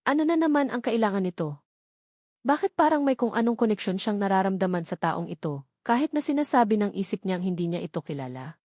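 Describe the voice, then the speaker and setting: A woman's voice: mid-range voice, sing-song, fairly quick, darkish tone, no echo, clean studio sound. A woman narrating a scripted romance audiobook in an earnest, emotive storytelling manner.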